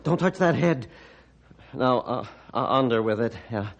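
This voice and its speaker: An actor playing a bitter, guilt-ridden hermit who was once a surgeon, gruff and theatrical in old-time radio style. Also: male voice, mid-range voice, lively intonation, a little slow. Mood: resolute, directing.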